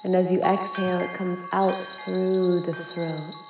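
A woman guides a meditation very slowly, in an even, lowish voice with a crackle of fry. She is soft and soothing, leaving long pauses. Her words hang in a long echo over singing bowls and music. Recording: long echoing reverb. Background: singing bowls and ambient music.

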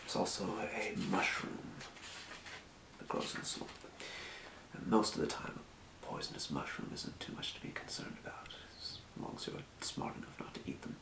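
A man voicing a helpful fantasy potion shopkeeper in an ASMR role play, murmuring in an unhurried, breathy whisper. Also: sits low, big pitch swings, reverberant, background noise, full character voice. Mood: absorbed muttering. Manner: murmuring, unhurried, muttering to himself. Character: helpful old-world fantasy potion shopkeeper, quietly businesslike. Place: small room, indoors at a desk.

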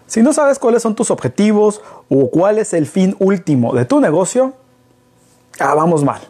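Middle-aged man, Mexican accent, mellow tone, a touch husky, explaining business to camera in a living room. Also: sits high, big pitch swings, normal speed. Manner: explaining with hand gestures, rhetorical questions. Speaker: business consultant. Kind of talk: casual business talk to camera. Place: living room, seated in an armchair. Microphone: far camera.

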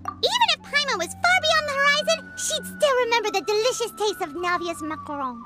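Tiny chirpy fairy companion for a game: extremely high, animated, childlike, loud music underneath. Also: female voice, fairly quick. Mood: cheerful. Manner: refers to herself in third person, excitable. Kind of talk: video game character acting.

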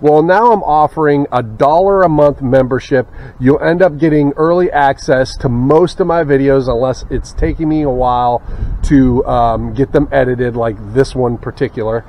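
A man's voice: medium pitch, moderate pace. A young man with a Southern US accent, talking casually to the camera while fishing from a small boat, muttering asides and cursing at fish. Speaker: fishing channel host. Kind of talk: casual fishing vlog commentary. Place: small boat on a wooded river outdoors. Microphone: action camera on the boat. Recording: slightly dull outdoor camera audio.

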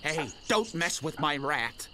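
Earnest pirate over noisy background. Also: male voice, a little high, very animated, slow pace, pushed and bright, full character voice. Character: earnest, defensive rat-loving small-time pirate, male.

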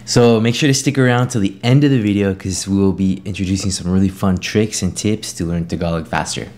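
A young man from California co-hosting a casual vlog, talking in a relaxed way and letting his phrases trail off quietly. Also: deep voice, fairly quick, heavy background noise. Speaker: vlog co-host. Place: small living room indoors.

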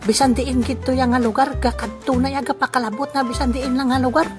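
Performer doing an elderly village man, fairly high voice, friendly, halting rambling storyteller, comic audio drama, music behind. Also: little pitch movement, close to an everyday voice. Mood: rambling, chatty. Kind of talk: amateur comedy audio drama dialogue. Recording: music playing clearly behind the voice.

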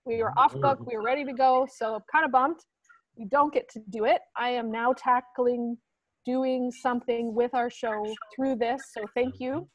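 A woman's voice: fairly high pitch, steady tone, moderate pace, no echo, rough recording. A woman, a teacher explaining something informally to peers on a video call. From Canada. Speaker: middle school teacher.

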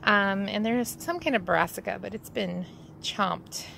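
Gravelly-voiced woman on a garden vlog. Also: mid-range voice, unhurried, a little hiss or hum. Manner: relaxed, rambling, many ums. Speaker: vlog host. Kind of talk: casual garden tour vlog. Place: outdoors in a backyard garden. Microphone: phone at arm's length.

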